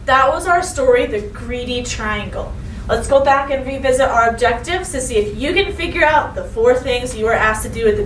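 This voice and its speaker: A woman with a high voice speaks as a teacher to young children. She is expressive and instructive, addressing listeners directly. The recording sounds roomy and distant, with faint noise. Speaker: elementary school teacher. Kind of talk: read-aloud storytelling and lesson for children.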